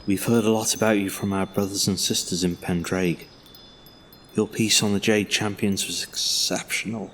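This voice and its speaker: A man's voice: on the low side, steady tone, normal speed, a little hiss or hum, lightly acted. A man playing a courteous, reverent temple keeper in a fantasy audio drama. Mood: welcoming, respectful.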